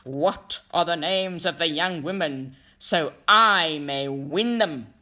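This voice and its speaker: Storybook villain: huge bullying man, loud and insistent, stretching out his words female reader acting him out. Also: deep voice, slow, heavily acted. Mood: demanding, menacing. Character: giant male stranger, bullying and demanding, in folktale.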